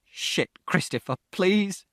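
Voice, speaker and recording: A man reading aloud who voices a furious, desperate father, shouting and swearing, then pleading. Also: high-pitched, expressive, slow, no echo, studio quality, voice pushed up, heavily acted.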